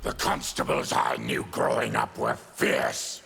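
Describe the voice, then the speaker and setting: An actor voicing a bitter, proud monstrous male outcast in a video game, with a gravelly growl. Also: a bass voice, level tone, slow pace, sharp tone, faint music behind, heavily acted. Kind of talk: video game character dialogue.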